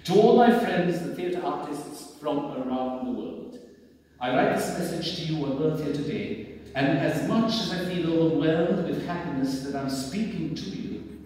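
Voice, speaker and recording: Older Sri man, slow echoey stage oratory. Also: fairly high pitch, darkish tone. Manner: declamatory, theatrical, emphatic delivery. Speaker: speaker reading a formal message on stage. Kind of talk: formal read speech, oratorical. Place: indoor theatre stage. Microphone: far camera in the audience. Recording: very echoey theatre hall, distant mic, faint noise.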